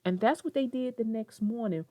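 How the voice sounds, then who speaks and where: A woman's voice: on the high side, normal speed, no echo. A woman narrating and hosting a children's read-aloud, telling and discussing the story expressively. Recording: dull lo-fi sound, soft guitar music.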